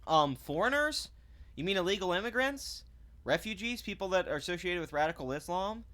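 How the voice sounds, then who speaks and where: A man's voice: sits high, animated, a little fast, pushed and bright. A man delivering a sarcastic, blunt commentary rant, swearing freely and stumbling over restarts when he gets heated. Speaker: commentary vlogger. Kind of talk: ranting commentary.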